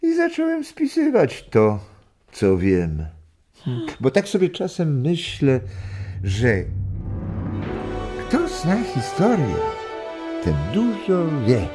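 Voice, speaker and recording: An older man acting a warm grandfather in a children's radio drama, husky, telling stories reflectively with deliberate pauses. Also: fairly high pitch, wildly swooping pitch, music in the background, heavily acted.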